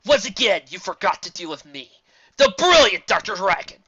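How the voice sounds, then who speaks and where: A woman voicing a loud, vain male mad scientist villain in a cartoon story. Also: mid-range voice, big pitch swings, moderate pace, husky, voice pushed up. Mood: boastful. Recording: dull lo-fi recording.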